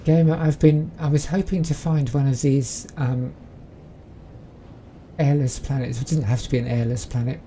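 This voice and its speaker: A woman's voice: rumbling low, steady tone, normal speed, dark tone, slight background noise. A woman with a Southern English accent chatting casually as she plays a game, rambling with plenty of ums. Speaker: game streamer. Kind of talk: casual let's-play commentary.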